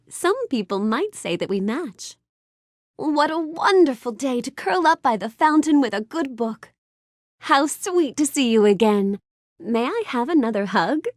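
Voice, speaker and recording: A woman's voice: high voice, very animated, a little slow, no room sound, clean studio sound. A woman voicing a gracious, bookish young storybook heroine in a video game, speaking politely and expressively with careful enunciation. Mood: affectionate. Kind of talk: scripted video game character lines.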